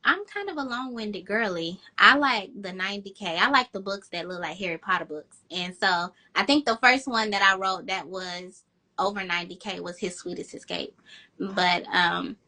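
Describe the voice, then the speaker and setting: Bright-voiced chatty woman author. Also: moderate pace. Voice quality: high-pitched voice. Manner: chatty, enthusiastic, quotes herself with "girl". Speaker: romance author, interview guest. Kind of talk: casual interview chat.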